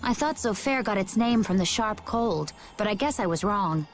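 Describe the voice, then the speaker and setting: A woman's voice: medium pitch, lively intonation, normal speed, natural voice. A woman voicing a composed, perceptive young woman adventurer in an anime video game dub. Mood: thoughtful.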